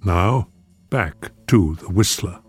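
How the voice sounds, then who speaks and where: Deep, slow male announcer. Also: expressive, loud music behind the voice. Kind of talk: dramatic program announcing.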